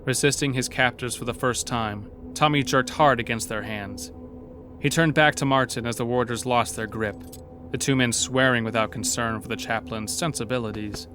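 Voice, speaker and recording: A man giving scripted, suspenseful narration for a horror audio drama, telling the story in a measured way. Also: medium pitch, natural voice. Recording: clear music bed behind.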